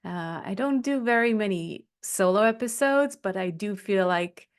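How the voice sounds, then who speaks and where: A woman's voice: medium pitch, lively intonation, normal speed, smooth. A middle-aged woman from Switzerland presenting a solo podcast, talking directly to listeners from loose notes. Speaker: podcast host and business coach. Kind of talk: solo podcast talk to camera, partly scripted.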